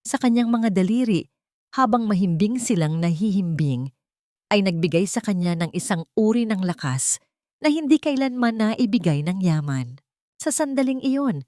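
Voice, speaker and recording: A woman's voice: medium pitch, darkish tone, no echo, clean studio sound. A woman reading a scripted dramatic story as an audiobook narrator.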